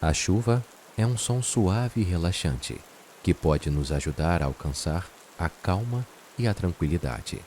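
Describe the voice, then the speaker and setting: A man with a Brazilian accent narrates a guided meditation in a soothing, unhurried way, leaving long pauses. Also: low voice. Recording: steady rain and hiss behind voice. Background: rain sounds.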